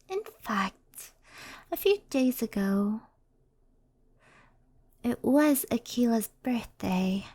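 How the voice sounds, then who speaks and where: Woman as a soft, slow, whispery fox spirit. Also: fairly high pitch, no room sound. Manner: soft, breathy, often whispered, unhurried. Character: centuries-old female fox spirit, gentle, anxious storyteller. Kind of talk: ASMR roleplay. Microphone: close microphone.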